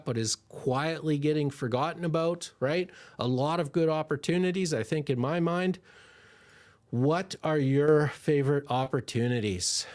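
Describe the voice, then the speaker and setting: A chatty, excitable man hosts a live stock Q&A, his voice sitting a bit higher than most men's. He rambles and restarts mid-thought, and his voice is slightly worn and hoarse. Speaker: stock investing channel host. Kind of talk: livestream Q&A on stocks.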